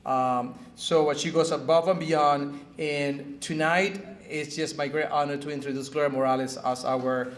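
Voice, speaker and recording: A man giving an informal, halting introduction of an award honoree, with fillers. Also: fairly high pitch, fairly even intonation, slight background noise. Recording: echoey hall.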